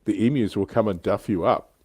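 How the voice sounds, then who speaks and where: A man's voice: on the low side, fairly quick, a little mellow, slightly rough, dry recording. A man hosting a casual, jokey hobby livestream, chatting and reading out viewer comments. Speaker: livestream host.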